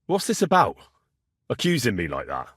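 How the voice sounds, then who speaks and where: A man's voice: mid-range voice, huge pitch swings, moderate pace, fairly bright tone, husky, dry recording, studio quality, heavily acted. A male actor playing a cheeky, blunt young swordsman who haggles cockily in a medieval video game. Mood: indignant, defiant. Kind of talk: video game character dialogue.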